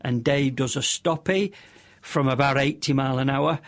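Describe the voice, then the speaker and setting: A middle-aged man with a squeaky voice, a radio interview guest who rambles through long stories with many restarts and self-deprecating asides. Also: normal speed. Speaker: radio interview guest, motorcycle stunt show compere.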